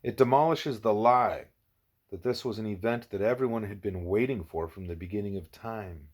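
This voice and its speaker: A man reads as an earnest young girl pupil in an audiobook. The voice stays fairly low and thoughtful as the pupil reasons and questions. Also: moderate pace, a little mellow, close to an everyday voice. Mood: earnest, reflective.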